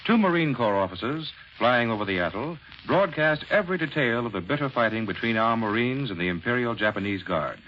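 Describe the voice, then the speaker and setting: Brief: male American vintage radio announcer, lively pitch swings, forceful scripted delivery, noisy old broadcast sound. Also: brisk, few pauses, dull audio. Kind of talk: 1940s scripted radio announcing and sponsor read. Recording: noisy, band-limited 1940s radio broadcast.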